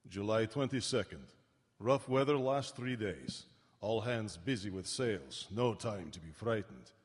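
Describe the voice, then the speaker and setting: An actor playing a weary, grave sea captain in a live radio play, speaking in solemn, clipped phrases with a husky voice. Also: male voice, fairly low pitch, expressive, a little slow. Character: weary, grave sea captain reading his ship's log. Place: town hall stage. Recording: echoey live hall.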